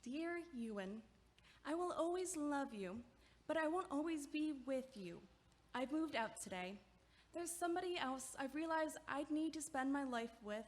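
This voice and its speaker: A composed young woman reads her farewell letter aloud in a high, soft voice. Her delivery is measured and deliberate, like a carefully drafted note. This is an audio drama performance. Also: expressive.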